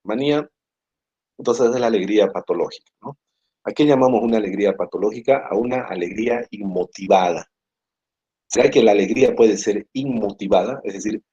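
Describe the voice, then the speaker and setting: A man with a Peruvian Spanish accent lectures in a patient, explanatory way and checks in with tag questions. His voice sits low, mellow and a little husky. The recording is dull and lo-fi. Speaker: psychiatry lecturer. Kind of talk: university lecture. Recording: muffled lo-fi classroom recording.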